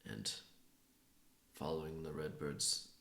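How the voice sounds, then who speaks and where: Very deep, gravelly male storyteller. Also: sharp tone. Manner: halting, pausing between phrases. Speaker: writer improvising a story. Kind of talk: improvised folktale storytelling. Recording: roomy, echoing sound.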